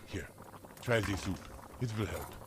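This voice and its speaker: An actor playing a kindly, patient German village priest in a family audio drama, gentle and measured in manner. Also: male voice, low voice, animated, heavy background noise. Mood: warmly explaining. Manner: gentle, measured, formal, explanatory.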